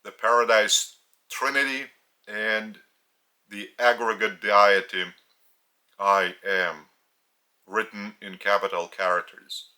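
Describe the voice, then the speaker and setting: A man from Lithuania with a Lithuanian accent, a spiritual teacher speaking in short, halting phrases, his native language being Lithuanian. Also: lowish voice, fairly even intonation, sharp tone, low-quality recording. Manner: halting, phrase-by-phrase delivery with pauses. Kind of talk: slow spiritual teaching talk.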